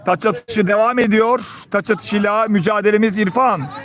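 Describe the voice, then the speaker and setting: A man's voice: sits high, fairly even intonation, moderate pace, a bit bright. A man doing live play-by-play football commentary in clipped phrases, calling out player names. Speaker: match commentator.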